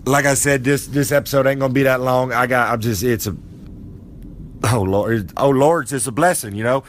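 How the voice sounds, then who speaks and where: A man's voice: mid-range voice, a little fast, natural voice. Guy putting on a rambling old wrestler, folksy and preachy, bit of room hiss. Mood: overjoyed. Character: boastful old male wrestler character, folksy. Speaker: podcast character segment.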